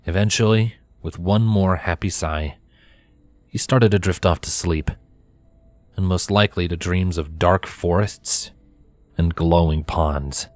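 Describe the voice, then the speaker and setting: A man's voice: low voice, a little slow, no room sound. A man reading a scripted story aloud as its narrator. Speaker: narrator, fan fiction reader.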